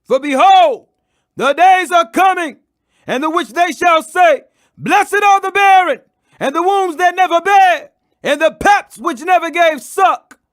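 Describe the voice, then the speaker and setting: A man voicing a condemned holy man, grave and compassionate, preaching loudly and fervently in a scripture audiobook. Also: very high voice, measured pace, voice pushed up. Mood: urgent warning.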